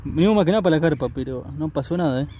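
Young argentine guy vlogging his fishing trip on a riverbank, fast and chatty, kinda mellow tone, says eh a lot. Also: a little high, animated, a little hiss or hum. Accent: Argentine Spanish. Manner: chatty, upbeat, tags sentences with "eh". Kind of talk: casual outdoor fishing narration. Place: riverbank outdoors.

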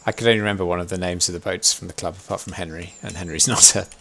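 A man's voice: low voice, fairly even intonation, very fast, gravelly, bright, forceful tone. A young man leading a sailing club game session on a headset mic, chatty, laughing often and thinking aloud as he plays. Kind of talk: casual instructive chat while gaming.

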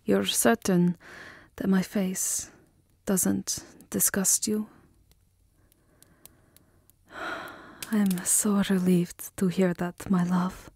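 A woman playing a tender, lovesick vampire countess in an intimate ASMR roleplay, speaking softly and often dropping into a whisper, with formal, wistful endearments. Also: mid-range voice, flat delivery, extremely slow, creaky, long pauses, slightly rough, no room sound, heavily acted. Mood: relieved, loving. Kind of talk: ASMR roleplay, soft intimate romantic acting. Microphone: close microphone, dry. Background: music.

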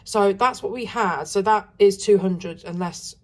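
A woman with a croaky voice from a cold, chatting casually and rambling through a travel vlog with frequent ums. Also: mid-range voice, a little slow. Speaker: travel vlogger.

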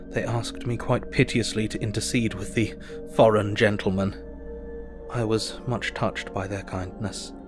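Man as low-voiced, earnest Victorian doctor. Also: natural voice. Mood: tender reflective. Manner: measured, reflective, formal. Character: weary, earnest Victorian doctor keeping a diary. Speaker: actor. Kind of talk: dramatized diary narration.

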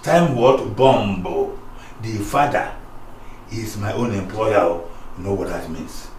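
A male actor playing an excitable, scolding father in a Nigerian comedy film, with a Nigerian accent. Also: lowish voice, slow, far from the mic, slight background noise. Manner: blustering, scolding. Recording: roomy, off-mic film sound.

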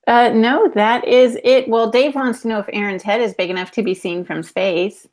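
Female podcast co-host, middle-aged, fairly high voice, informal live chat from a home room. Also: fairly even intonation, normal speed, darkish tone. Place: indoor room at home, on a video call. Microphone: earphone headset on a video call.

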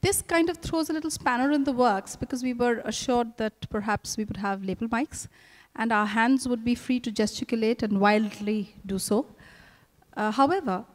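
A middle-aged woman with an Indian accent, conducting a formal on-stage interview in an articulate, measured way. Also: a little high. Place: hotel ballroom stage. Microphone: handheld microphone. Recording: echoey ballroom with long reverb.

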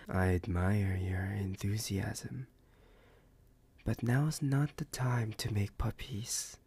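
A man's voice: lowish voice, fairly even intonation, darkish tone, slightly rough, full character voice. A man voicing a possessive, doting alpha werewolf boyfriend in an ASMR roleplay, intimate and suave, slipping into whispers. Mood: tender, pleased. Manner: slow, intimate, partly whispered, suave and condescending. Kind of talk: ASMR romance roleplay acting.

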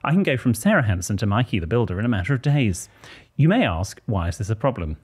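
A man in his thirties from Bristol, co-hosting a podcast in a small studio, chatting and reading listener letters aloud with crisp diction and dry wit. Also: on the low side, big pitch swings, quick pace, a little mellow. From Bristol, England. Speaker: podcast co-host. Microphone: close studio mic on boom arm, headphones.

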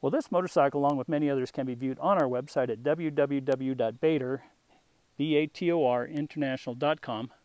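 A man presenting a restored vintage motorcycle for sale, talking in an unhurried way with a touch of humor while the camera circles the bike outdoors. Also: medium pitch, a little mellow, no room sound. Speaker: classic motorcycle dealer. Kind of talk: off-camera sales walkthrough.

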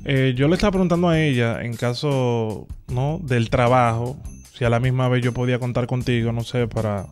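A young man acts out a needy construction worker on a prank call, pushy and quick to take offence. His pitch is average for a man. He is close on a studio mic, with faint music behind him. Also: steady tone, darkish tone, natural voice. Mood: pleading, subdued. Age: young adult. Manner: persistent, pleading, easily offended. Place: home studio room. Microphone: close studio microphone on boom arm.

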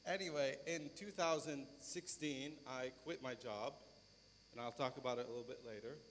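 A man's voice: medium pitch, moderate pace, fairly bright tone. A man in his thirties with a Lebanese accent gives an informal presentation as a conference speaker in a large lecture auditorium. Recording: reverberant lecture hall, background noise.

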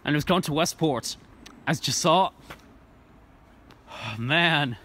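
Young man, trainspotting vlogger, slightly high voice, rambling with ums, phone recorded on an outdoor platform. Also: slow pace, a bit bright. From Ireland. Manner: rambling, full of ums and restarts, enthusiastic. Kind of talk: casual on-the-spot narration. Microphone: phone camera held by the filmer.

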